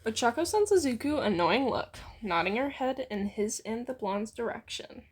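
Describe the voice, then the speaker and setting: A woman's voice: fairly high pitch, expressive, normal speed, slightly rough. A woman casually reading a fan fiction story aloud as narrator.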